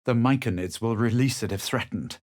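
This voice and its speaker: A man playing a self-assured, haughty fantasy adventurer in a video game, speaking in a measured, composed, faintly superior way. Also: medium pitch, dry recording, studio quality, close to an everyday voice. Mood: cool, measured. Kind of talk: video game character lines, scripted.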